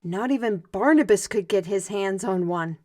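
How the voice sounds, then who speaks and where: Woman playing young fantasy squire, learned, brisk, animated, explanatory, audio drama. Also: mid-range voice, close to an everyday voice. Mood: eager. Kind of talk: audio roleplay acting.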